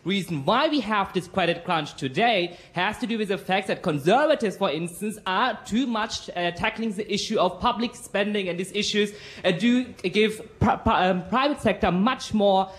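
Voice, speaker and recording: An audience member with a German accent, making a long, halting point to a panel. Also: medium pitch, fairly bright tone. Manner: halting, searching for words. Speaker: audience member asking a question. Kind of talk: earnest audience comment.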